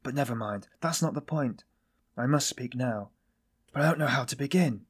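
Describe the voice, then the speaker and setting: A man playing a weary, self-loathing man in audiobook dialogue, confessing in a brooding, bitter, halting manner. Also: medium pitch, slightly rough, pitched above the performer's own voice, natural voice.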